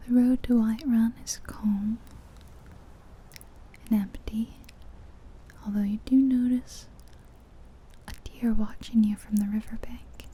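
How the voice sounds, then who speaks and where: A woman softly narrating an ASMR guided visualization in a breathy, whispery, soothing voice, close to the microphone, with gentle pauses. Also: a little high, fairly even intonation, low-quality recording. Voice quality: breathy, whispery soft-spoken voice. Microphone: close ear-to-ear ASMR microphone.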